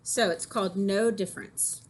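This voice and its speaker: A woman teacher reading aloud expressively and explaining things simply for children, with an animated, folksy delivery. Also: mid-range voice, normal speed, slight background noise. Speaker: teacher reading poems to grade-school children.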